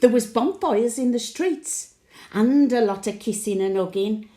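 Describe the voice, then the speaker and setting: A woman's voice: on the high side, animated, a little slow, warm tone, reverberant. A woman playing a cheeky, wistful elderly market-trader widow with a Birmingham accent, telling her tales in a chatty, colloquial audio monologue. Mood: fond, cheeky. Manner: colloquial storytelling with dry chuckling asides. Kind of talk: audio monologue, chatty diary addressed to late husband.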